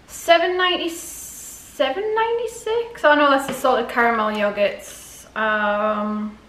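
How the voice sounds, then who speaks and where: A woman's voice: high-pitched, unhurried, fairly bright tone. A woman in her forties chatting casually to camera as a vlogger, often questioning herself aloud. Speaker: vlogger showing a grocery haul. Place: indoors in a home dining room. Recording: echoey room, clear background noise.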